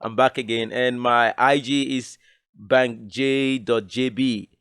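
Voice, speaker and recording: A man in his thirties, a returning guest on a casual podcast, friendly and a little halting with fillers. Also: mid-range voice, fairly even intonation, fairly bright tone, clean tone, no room sound, studio quality. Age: 30s.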